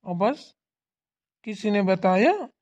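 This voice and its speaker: A performer playing a calm older village father-in-law who soothes and reassures the family. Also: mid-range voice, slow pace. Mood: explaining. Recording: dull lo-fi sound.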